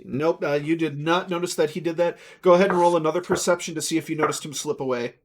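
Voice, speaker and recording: Man running a tabletop game, halting. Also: a little high, a little hiss or hum. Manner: relaxed, halting, with many fillers. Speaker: dungeon master.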